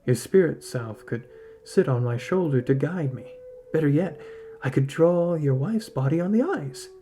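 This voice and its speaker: A man's voice: fairly high pitch, lively intonation, normal speed, dark tone, a little hiss or hum, lightly acted. A man voicing a wily, reluctant male arctic hunter in a story, who reasons and bargains step by step. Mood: persuasive. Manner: argues step by step, rhetorical questions.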